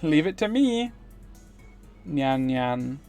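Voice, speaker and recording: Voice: medium pitch, sing-song, extremely slow, a little mellow, soft background music, full character voice. A streamer voicing a perky, cutesy young female cat maid from a visual novel. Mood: cheerful. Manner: cutesy, with cat sounds in speech.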